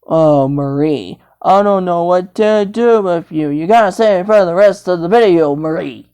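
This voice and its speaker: Woman putting on a bossy, low-voiced young male lion. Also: normal speed, mellow, smooth, lightly acted. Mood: scolding. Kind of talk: improvised character play.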